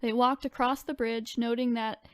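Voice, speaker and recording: High-voiced woman, chatty. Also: level tone, moderate pace, no echo. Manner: informal, chatty, frequent asides. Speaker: podcast host. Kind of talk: casual chat with reading aloud of spooky stories.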